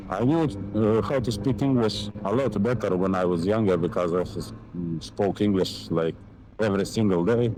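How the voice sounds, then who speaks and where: A young Montenegrin man with a very low voice rambles through a casual motorcycle vlog in accented English, full of fillers and offhand swearing. He talks into a helmet mic while riding in city traffic, with faint wind and traffic noise underneath. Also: a little mellow, poor audio. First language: Serbian. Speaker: motovlogger.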